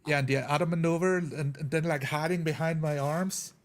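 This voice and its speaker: A man with a slightly high voice chats casually as a tabletop roleplayer. He tends to repeat and rephrase phrases to drive his point home. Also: fairly even intonation, brisk. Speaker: tabletop roleplayer on a gaming show. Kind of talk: casual chat.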